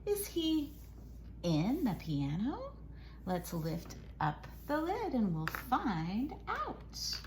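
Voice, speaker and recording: Female educator for children's read-aloud. Higher-pitched, sing-song and exaggerated, very unhurried delivery, over a noisy background. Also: wildly swooping pitch. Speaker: educator reading a picture book aloud. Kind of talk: animated children's read-aloud, modeling core words. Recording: loud noise behind the voice.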